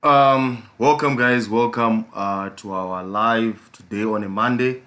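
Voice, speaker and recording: A man with a hoarse voice from a flu, hosting a casual live trading stream with a South African accent, rambling with lots of fillers and repeated pleas. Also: on the low side, slow, a bit bright. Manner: repetitive pleading, frequent fillers.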